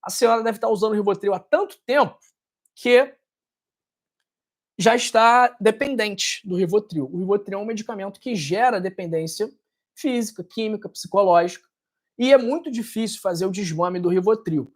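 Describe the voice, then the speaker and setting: A man's voice: high voice, moderate pace. A man with a Carioca accent from Rio de Janeiro, a doctor talking to camera in a direct, scolding way, ending many sentences with a tag question. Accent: Carioca Brazilian Portuguese. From Rio de Janeiro, Brazil. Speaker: doctor and health channel host. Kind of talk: casual talk to camera, answering viewer comments.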